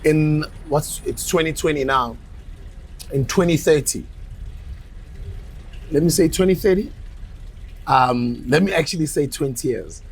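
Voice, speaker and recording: A South African man in his forties hosting a casual podcast interview, animated and playful, often breaking into laughter. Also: a little high, measured pace, pauses often, breathy edge, rough recording. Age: 40s. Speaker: podcast host.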